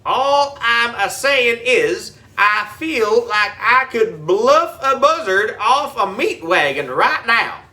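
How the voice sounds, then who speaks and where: A man's voice: extremely high pitch, lively intonation, takes it slowly, hardly any pauses, bright, forceful tone, voice pushed up, full character voice. A man voicing a folksy rustic groundskeeper in a children's audiobook, drawling homespun cowboy sayings. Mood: excited, boastful. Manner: drawling, homespun country talk.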